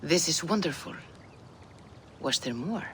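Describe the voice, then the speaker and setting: An actor playing a gentle, curious sage in a video game, speaking thoughtfully and inquisitively. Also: male voice, a little high, lively intonation, a little slow, slight background noise. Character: curious, gentle male sage questioning a warrior.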